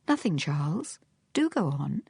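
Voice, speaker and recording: A woman's voice: fairly low pitch, wildly swooping pitch, moderate pace, slightly rough, no echo, studio quality, heavily acted. A woman voicing a poised, sardonic lady adventurer in an audiobook, with a composed and needling drawl. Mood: cool, composed.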